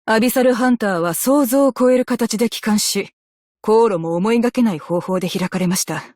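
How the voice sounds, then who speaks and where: A woman playing a composed, dutiful female naval officer in a game, speaking with measured, formal military politeness. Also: a little high, darkish tone, no room sound, clean studio sound, natural voice. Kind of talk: scripted game character voice lines in Japanese.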